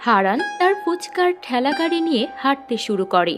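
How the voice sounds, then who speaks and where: High, animated woman narrator. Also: brisk, music in the background. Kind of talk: scripted cartoon story narration.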